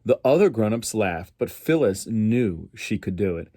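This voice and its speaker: A man's voice: fairly low pitch, very animated, a little slow, mellow, no room sound, clean studio sound. A man reading a picture book aloud expressively, as a storyteller for schoolchildren.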